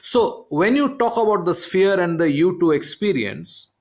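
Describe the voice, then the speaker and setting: A middle-aged man, a podcast host, telling a story in an animated way and often asking "okay?". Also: high voice, measured pace. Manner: animated, repeats "I mean" and "okay?". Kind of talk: conversational storytelling.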